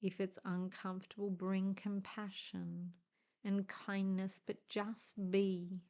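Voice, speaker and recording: A woman guides a meditation in a very dark, mellow voice. She speaks extremely slowly, leaving long pauses between short phrases. Her tone stays even and hushed, gentle and soothing throughout. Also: mid-range voice.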